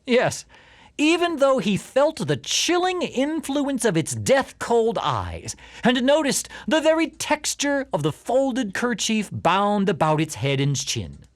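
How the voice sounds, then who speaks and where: A woman's voice: a little high, wildly swooping pitch, slow, dry recording. A woman narrating a story for audio theatre in a theatrical, suspenseful way. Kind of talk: dramatic scripted storytelling narration.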